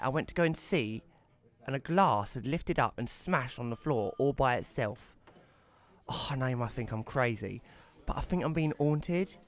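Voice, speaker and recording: A man's voice: mid-range voice, pitched above the performer's own voice, natural voice. A man voicing an earnest, anxious woman pleading for help in a letter, in an audio drama. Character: earnest, anxious woman writing to agony aunt.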